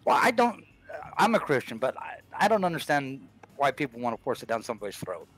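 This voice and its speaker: A man's voice: mid-range voice, sing-song, brisk, a bit bright. A man talking bluntly on a video call, repeating words as he starts his sentences. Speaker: caller on a panel. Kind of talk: casual chat on a video call.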